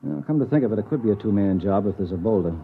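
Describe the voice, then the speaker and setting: An actor playing a gruff, edgy American space explorer in an old radio drama, curt, arrogant and hard-boiled. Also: male voice, fairly low pitch, animated, very fast, narrow, tinny audio. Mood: gruff, superior. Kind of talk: 1950s radio drama acting with first-person narration. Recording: noisy, thin old radio recording.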